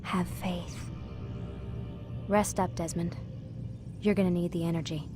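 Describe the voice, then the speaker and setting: An actress playing a sympathetic young woman, gentle and earnest, in a naturalistic video game cutscene. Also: fairly low pitch, level tone, measured pace, over music, lightly acted. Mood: reassuring. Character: sympathetic, reassuring young female ally.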